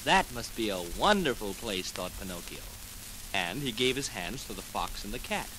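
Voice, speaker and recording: Lively vintage male storyteller. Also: on the low side, normal speed, rough recording. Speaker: narrator. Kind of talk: 1940s children's storytelling. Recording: old 78 rpm record with music.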